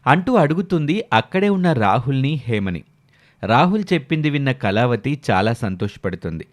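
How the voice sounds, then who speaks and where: A man's voice: medium pitch, big pitch swings, moderate pace. A man narrating a scripted story as the storyteller.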